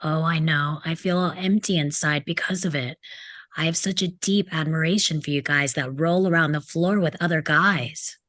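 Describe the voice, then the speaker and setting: Woman playing a low-voiced sarcastic teenage bad boy. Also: moderate pace, fairly bright tone, no room sound. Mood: dry mockery. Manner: mocking, sardonic. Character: sarcastic rebellious male teenage troublemaker, taunting and cynical. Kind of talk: script table read.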